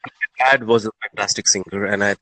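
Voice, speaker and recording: A man in his forties from India, a singer and interview guest, speaking slowly and deliberately. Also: lowish voice, fairly even intonation, bright, forceful tone, no room sound, rough recording. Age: 40s. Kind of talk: casual interview chat.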